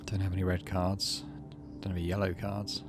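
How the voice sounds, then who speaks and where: A middle-aged man doing let's play commentary, muttering asides and reading game narration aloud in a measured storytelling way. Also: low voice, completely flat delivery, over music. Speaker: gaming video host.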